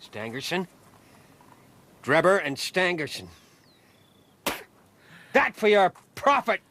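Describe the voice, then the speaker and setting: An actor playing a rugged, defiant frontier man with a husky voice in a radio drama. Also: high-pitched, sing-song, takes it slowly, sharp tone, slight background noise, exaggerated voice. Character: rugged frontier man in love, narrating his ordeal, defiant. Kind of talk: radio drama acting and narration.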